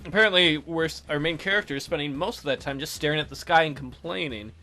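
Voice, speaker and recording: A man's voice: fairly high pitch, fast, pushed and bright, faint music behind. A man hosting a let's play, reading a visual novel aloud with joking, mock-incredulous asides. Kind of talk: casual game commentary and reading aloud.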